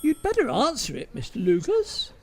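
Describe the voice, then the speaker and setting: Camp, fussy shop salesman, played by a woman, high and unhurried, wildly swooping, arch radio comedy, noisy recording. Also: huge pitch swings, heavily acted. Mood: fussy, flirty. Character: camp, fussy menswear salesman, flirty and chatty. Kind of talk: amateur radio comedy acting.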